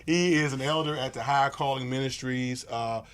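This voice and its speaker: A man's voice: medium pitch, steady tone, a little fast, a bit bright. An American man in his forties hosting a casual interview, friendly and enthusiastic. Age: 40s. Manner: friendly, enthusiastic, often laughs. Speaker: interview host.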